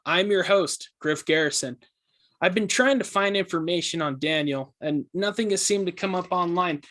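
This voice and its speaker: Man playing curious podcast host, slightly high male voice, excitable, earnest, conversational interviewer. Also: moderate pace. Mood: upbeat.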